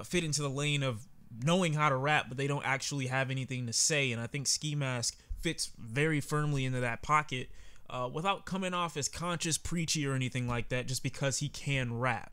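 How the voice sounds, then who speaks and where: Man with a smooth, clear voice. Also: a little high, bright, forceful tone. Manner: candid, conversational, frequent fillers. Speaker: music reviewer. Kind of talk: casual album reaction commentary.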